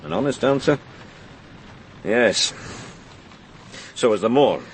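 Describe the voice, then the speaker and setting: A man's voice: medium pitch, lively intonation, slow pace, a little hiss or hum. A man playing a blunt, world-weary senior police inspector in a radio crime drama. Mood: probing.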